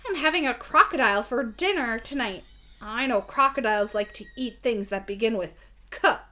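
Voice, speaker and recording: Storybook character, read aloud by a woman: eager, friendly dinner planner, bright and very animated, exclaims emphatically. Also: on the high side, normal speed. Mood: excited.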